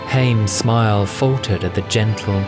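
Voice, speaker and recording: Male narrator, fairly low pitch, scripted audio fiction read over a clear music bed. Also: a little slow. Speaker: narrator, voice actor.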